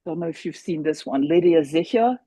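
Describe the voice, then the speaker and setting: A woman's voice: low voice, animated, a little fast, mellow, no room sound. A woman in her sixties, a native German speaker raised in France, telling stories animatedly as a podcast guest, with many restarts. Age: 60s. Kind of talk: casual conversation.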